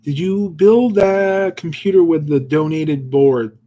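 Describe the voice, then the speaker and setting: A man's voice: on the high side, takes it slowly, dark tone. A man hosting a livestream, reading Bible verses aloud and then musing loosely about them with fillers, and answering viewers' questions. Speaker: livestream host. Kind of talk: casual devotional livestream chat.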